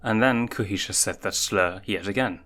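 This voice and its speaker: A man's voice: lowish voice, normal speed, pushed and bright, soft background music. A man narrating a story, reading scripted fiction aloud.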